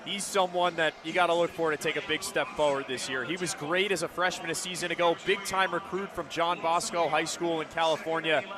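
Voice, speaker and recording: A man's voice: a little high, fairly even intonation, fairly quick, hardly any pauses, a crowd in the background. An American man giving conversational, opinionated color commentary between plays on a live football radio broadcast. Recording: clear stadium noise behind broadcast commentary. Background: outdoor stadium ambience.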